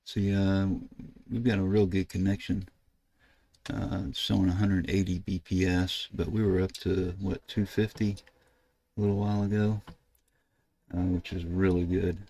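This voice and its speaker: An older American man narrating a casual screen-share tutorial, thinking aloud in a halting way as he works at his computer. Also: deep voice, level tone, dark tone. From United States. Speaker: ham radio video host. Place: indoors at a computer desk.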